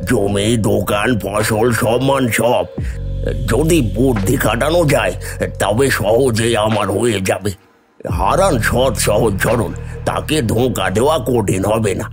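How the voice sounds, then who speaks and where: A man's voice: lowish voice, measured pace, over music. A man playing an envious, scheming village younger brother who grumbles resentfully in a moral-tale cartoon. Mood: scheming, greedy.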